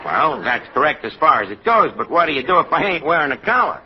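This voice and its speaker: An actor playing a boastful, wisecracking tavern manager and ex-fighter in a slangy New York accent, in 1940s radio comedy style. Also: male voice, on the high side, very animated, fast. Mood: wry, wisecracking. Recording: dull noisy old radio recording, audience behind.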